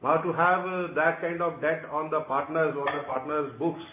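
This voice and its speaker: Echoey, higher-voiced Indian man, halting. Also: steady tone. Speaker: company executive. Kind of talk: answering analyst questions on an earnings call. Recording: muffled, echoey conference call with noise.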